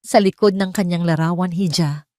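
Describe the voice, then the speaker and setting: A woman's voice: on the low side, steady tone, normal speed, no room sound, clean studio sound, lightly acted. A woman voicing an elderly male gardener, a loyal and respectful caretaker in an audio drama. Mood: sad, respectful. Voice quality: gravelly, hushed.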